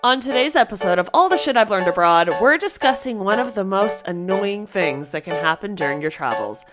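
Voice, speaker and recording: A woman co-hosting a podcast in casual chat, a little stuffy and raspy from a cold, talking freely and restarting her sentences. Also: mid-range voice, animated, fairly quick, over music. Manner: chatty, laughs often, restarts sentences. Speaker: podcast co-host.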